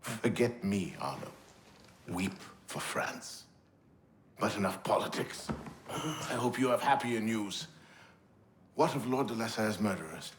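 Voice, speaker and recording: An actor playing a weary, caustic mentor in a video game, speaking in a formal, measured, wry way. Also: male voice, lowish voice, expressive, slight background noise. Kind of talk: dramatic game cutscene acting.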